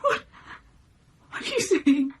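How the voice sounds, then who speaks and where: A woman's voice: takes it slowly, lightly acted. A woman playing an anxious middle-aged working-class mother in a radio drama, fretful and emotionally intense. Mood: distraught. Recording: noisy radio drama broadcast.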